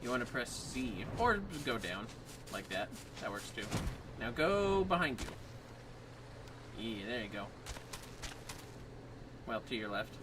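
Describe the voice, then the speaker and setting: A man's voice: medium pitch, big pitch swings, measured pace, pauses often, distant mic, background noise. A man chatting casually on a gaming livestream, guiding his partner as they play. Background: game music.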